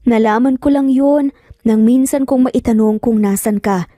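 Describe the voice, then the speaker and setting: A woman's voice: a little high, normal speed, mellow, no echo, clean studio sound, faint music behind, natural voice. A woman voicing a serious, heavy-hearted father in a dramatic audio story. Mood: grave confession.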